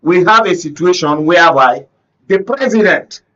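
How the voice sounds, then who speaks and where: A Nigerian man with a fairly high voice speaks as a commentator on a political panel. He is emphatic and rhetorical, punctuating his points with questions to his listeners. The broadcast sound is a little dull and lo-fi. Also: lively intonation, normal speed, bright, forceful tone. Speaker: panel guest, conflict reporter. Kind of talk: political commentary on a talk show. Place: radio and TV studio discussion.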